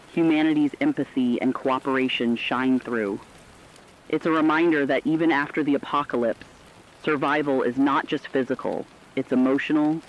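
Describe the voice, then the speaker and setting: A man playing an earnest radio news co-anchor, giving grave, measured scripted reports on a disaster. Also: medium pitch, lightly acted. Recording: dull lo-fi sound with faint noise.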